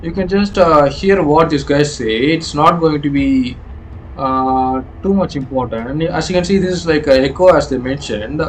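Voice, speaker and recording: A man with a slightly high voice talks through a video game off the cuff. His delivery is halting and full of uhs, with faint noise behind him. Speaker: game playthrough commentator. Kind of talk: unscripted gameplay commentary.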